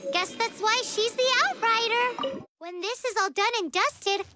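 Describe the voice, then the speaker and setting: Squeaky, childlike fairy sidekick. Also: female voice, unhurried, over loud music. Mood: wry, exasperated. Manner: refers to herself by name. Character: tiny childlike floating fairy companion, excitable and cheeky. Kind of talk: anime-style video game voice acting.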